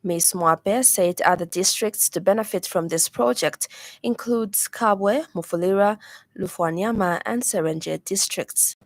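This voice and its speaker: A woman news anchor reading a radio news bulletin in a measured, formal delivery from a studio. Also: mid-range voice, no room sound. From Zambia.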